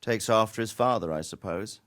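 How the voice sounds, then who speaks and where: An actor playing a stern, authoritative middle-aged lord in a medieval video game, speaking in a formal, measured way. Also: male voice, lowish voice, a bit bright, natural voice.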